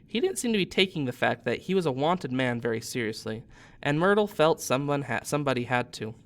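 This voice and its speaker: A man's voice: on the high side, fairly bright tone. A man in his thirties, a teacher, narrating a storybook aloud expressively.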